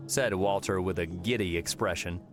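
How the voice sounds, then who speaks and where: A man narrating a fiction audiobook in a measured storytelling style. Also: sits low. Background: soft background music.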